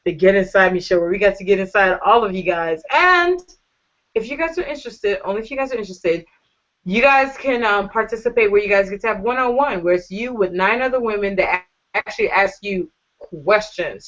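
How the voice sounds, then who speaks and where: A woman's voice: medium pitch, a bit bright. A woman hosting a casual online panel show, gushing and flirty as she thanks her guests. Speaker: talk show host. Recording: dull lo-fi audio.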